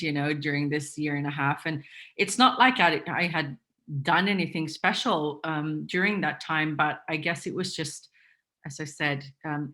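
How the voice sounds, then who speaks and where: A woman's voice: low-pitched, a bit bright. A woman from Sweden, not a native English speaker, chatting as an interview guest with many fillers and restarts. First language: Swedish. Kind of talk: casual interview chat.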